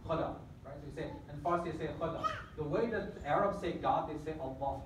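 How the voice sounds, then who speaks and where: A man's voice: fairly high pitch, fairly quick, background noise. A middle-aged man from Afghanistan giving a campus lecture, asking the audience questions as he explains. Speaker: guest lecturer. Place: large lecture hall indoors. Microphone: far camera at the back of the hall. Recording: echoey hall from far off.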